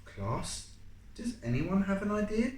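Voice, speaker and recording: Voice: medium pitch, sing-song, a little slow, a little mellow, voice pushed up. A storytime reader voicing an encouraging female schoolteacher who prompts her class with questions, in an echoey room. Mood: inviting. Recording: echoey room with background noise.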